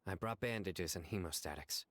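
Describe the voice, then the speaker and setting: A man playing a stern, composed, dutiful law enforcer in a video game, speaking in a measured, authoritative way. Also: low-pitched, noisy background, lightly acted. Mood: calm concern.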